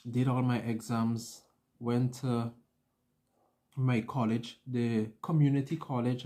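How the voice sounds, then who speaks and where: A man's voice: medium pitch, mellow. A young man chatting casually to an audience, telling personal stories and acting out what was said. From an island. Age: young adult. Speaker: vlogger. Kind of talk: casual personal storytelling to camera.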